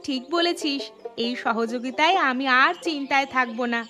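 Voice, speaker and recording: High-voiced caring village mother. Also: moderate pace, sharp tone, soft background music. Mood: grateful. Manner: reassuring, informal. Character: caring, encouraging village mother, grateful and steady. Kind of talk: cartoon voice acting.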